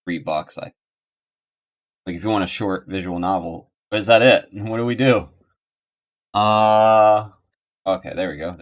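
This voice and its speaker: A man's voice: on the low side, a little slow, pauses often. A man doing casual livestream game commentary, rambling and thinking aloud as he goes. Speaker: video game streamer and host. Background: game music.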